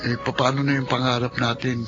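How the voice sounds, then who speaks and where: An actor playing a concerned young Filipino college student in a TV drama, questioning insistently. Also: male voice, mid-range voice, flat delivery, moderate pace, a bit bright, lightly acted. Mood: disbelieving, concerned. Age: young adult. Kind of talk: Filipino television drama acting. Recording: dull audio under clear music.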